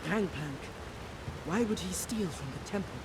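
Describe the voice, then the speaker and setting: A woman voicing a proud, devout warrior priestess in a video game, speaking in a measured, grave and authoritative way. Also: lowish voice, animated, background noise. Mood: reverent. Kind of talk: dramatic video game voice acting. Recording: studio voice under loud game music.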